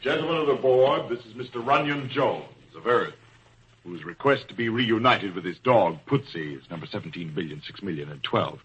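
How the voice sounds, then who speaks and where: A man's voice: fairly low pitch, lively intonation, normal speed, a bit bright, slight background noise. An actor playing an officious, formal board chairman with stiff bureaucratic courtesy in a 1940s radio play. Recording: muffled old radio recording.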